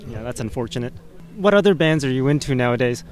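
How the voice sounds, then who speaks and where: A man hosting a punk radio show, interviewing a guest in a relaxed, chatty way and laughing often. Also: medium pitch, fast talker, faint music behind.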